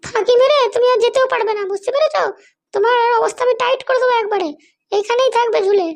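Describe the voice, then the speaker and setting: A scheming madam from a comedy cartoon, voiced by an actor, squeaky and extremely high like a child's voice. The talk is quick, bossy and threatening, full of ruthless self-justifying ambition. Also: gravelly. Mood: threatening, impatient. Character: scheming, power-hungry madam, ruthless mother seeking political power.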